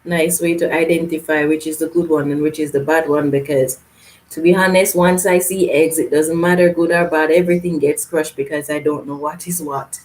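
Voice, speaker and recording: A woman from Canada hosts a casual live gardening stream. She reads viewer comments aloud and answers in a loose, chatty way with frequent laughter. Her voice sits a little low. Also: fairly even intonation, a little fast, darkish tone, low-quality recording. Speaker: gardening channel host.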